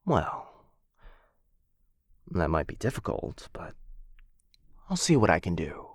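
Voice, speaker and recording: Man voicing a deep, slow, possessive dragon. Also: sing-song, breathy edge, dry recording, clean studio sound, full character voice. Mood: apologetic, solicitous. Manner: mock-polite, coaxing, theatrical, condescendingly affectionate. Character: possessive male dragon, courteous captor doting on human pet. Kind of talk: boyfriend ASMR fantasy roleplay.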